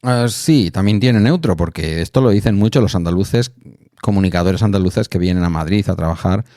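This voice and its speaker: Guy hosting a podcast, real low voice, rambles on, dry close sound. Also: brisk, dark tone, dry recording. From Spain. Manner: rambling, thinking aloud with asides. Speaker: podcast host. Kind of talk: casual chat.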